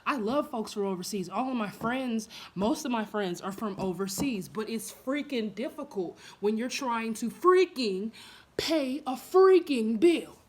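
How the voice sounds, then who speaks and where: A woman's voice: a little high, measured pace. A young woman speaking casually to camera in a blunt, chatty vlog. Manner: blunt, animated, chatty with laughs and asides. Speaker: vlogger.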